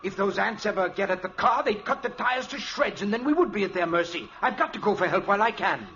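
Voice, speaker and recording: An actor playing an obsessed, fearful professor in an old-time radio drama, with grave, intense, theatrical delivery. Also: male voice, sits high, expressive, fast talker, a bit bright, faint music behind. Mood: grim resolve. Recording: muffled, noisy vintage radio recording.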